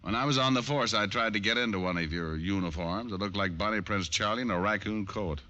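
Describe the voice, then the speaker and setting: Low-voiced, wry radio private eye. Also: male voice, a little fast, sharp tone, muffled recording. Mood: teasing. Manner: wisecracking, sardonic. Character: wry, easygoing male private detective and narrator. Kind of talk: 1940s-50s radio detective acting and narration. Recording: old radio broadcast with music.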